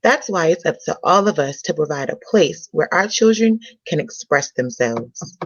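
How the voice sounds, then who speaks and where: A woman's voice: low voice, lively intonation, moderate pace. Woman playing a poised young organizer, roomy dull sound. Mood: earnest speechmaking. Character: poised, self-confident young woman, community event organizer. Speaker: actor in a table read. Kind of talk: comedy table read.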